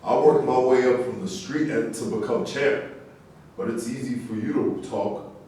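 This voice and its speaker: A man's voice: mid-range voice, normal speed, dark tone, natural voice. A man acting a brash ex-champ, echoing room. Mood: indignant. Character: brash, proud male ex-champion boxer, crude and streetwise. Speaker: actor in a staged reading. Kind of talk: staged play reading. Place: echoey indoor room at a staged reading. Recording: distant, very echoey room with noise.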